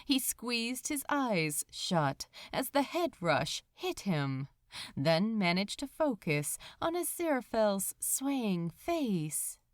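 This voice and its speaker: A woman reading a story aloud as narrator, with deliberate, dramatic phrasing. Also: mid-range voice, big pitch swings, no room sound, clean studio sound. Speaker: audiobook-style fan fiction narrator. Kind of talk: expressive scripted story narration.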